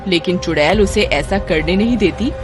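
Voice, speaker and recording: A woman's voice: on the low side, moderate pace, over loud music. A woman narrator reading a scripted story for children.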